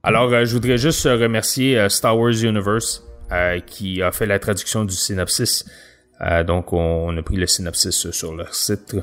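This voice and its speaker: A man with a Quebec French accent, hosting a book review podcast with hesitant pauses. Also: on the low side, steady tone, a bit bright, faint music behind. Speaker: podcast host. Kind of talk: podcast book review, partly reading a synopsis aloud.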